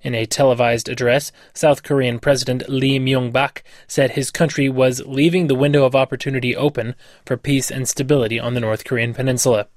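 A man's voice: mid-range voice, steady tone, brisk, few pauses, dry recording, clean studio sound. A man reading a scripted news report for radio. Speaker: radio newsreader.

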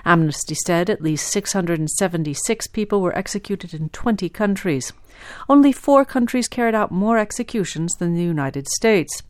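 A woman's voice: fairly low pitch, expressive, moderate pace, no echo. A woman presenting international radio news in a scripted reading style.

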